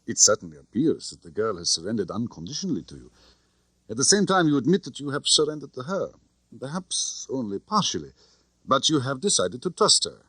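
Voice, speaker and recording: A man voicing a big, theatrical spy chief, very lively. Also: medium pitch, fairly quick, breathy edge, dry recording. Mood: serious, measured. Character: big, tough, cheerful, confident foreign spy chief, male.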